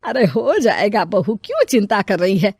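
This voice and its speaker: A woman's voice: medium pitch, sing-song, moderate pace, darkish tone, slightly rough, dry recording, clean studio sound, pitched below the performer's own voice. A woman playing an older mother-in-law in a family story, fond and fussing, with exclamations. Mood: fond, chatty.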